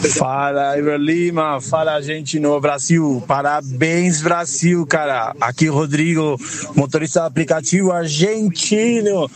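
A man's voice: a little high, a little slow. A man who drives for a ride app leaving an enthusiastic voice message for a radio show. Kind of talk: casual call-in message.

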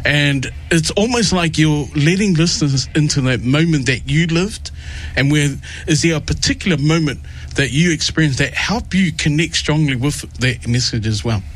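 A man's voice: a little high, normal speed. A male radio host interviewing musicians in a casual, rambling way, with many restarts. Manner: rambling, repeats words and restarts often. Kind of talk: warm casual interview with Pacific greetings.

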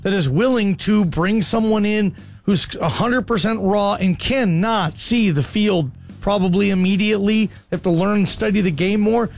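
A man's voice: high-pitched, moderate pace, creaky, faint music behind. A man hosting a sports radio show, talking in an upbeat, chatty way. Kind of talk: casual sports talk.

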